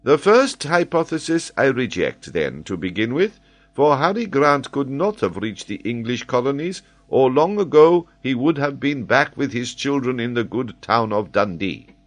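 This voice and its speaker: A man voicing an excitable, learned French geographer, speaking eagerly and emphatically as he explains. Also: medium pitch, moderate pace, pitched above the performer's own voice, close to an everyday voice. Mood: lecturing. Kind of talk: audiobook character voice.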